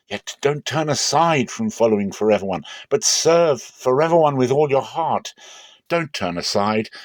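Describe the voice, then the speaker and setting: A man's voice: medium pitch, dry recording, close to an everyday voice. A man voicing a stern, impassioned prophet who exhorts his people in a dramatic scripture reading. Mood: earnest exhortation. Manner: declamatory, exhorting.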